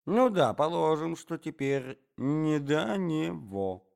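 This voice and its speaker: A man's voice: on the high side, big pitch swings, takes it slowly, creaky, darkish tone, pitched above the performer's own voice, exaggerated voice. A man voicing a frail, forgetful old prince who talks in a muddled, bewildered way.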